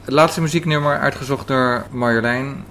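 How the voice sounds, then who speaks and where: A man's voice: mid-range voice, normal speed, a bit bright. A man hosting a conversational interview in a café. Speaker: interviewer, host. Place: indoors in a café. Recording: background noise of a café.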